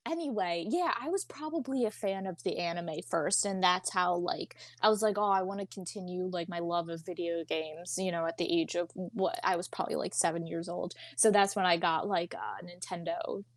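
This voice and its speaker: A woman's voice: on the low side, normal speed, hardly any pauses, no room sound. A twenty-year-old woman with a Philadelphia accent, chatting casually as a podcast guest in an informal, slangy, joking way. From Philadelphia area and South Jersey, United States. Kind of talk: casual chat.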